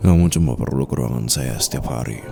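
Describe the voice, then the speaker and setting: Low-voiced possessive boss played by a man. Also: a little fast, creaky, music in the background, exaggerated voice. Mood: seductive, possessive. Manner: close, coaxing, insistent, with short prompting questions. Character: possessive, teasing male company boss, commanding yet forgiving. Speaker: ASMR roleplay performer. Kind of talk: intimate ASMR roleplay addressed to the listener.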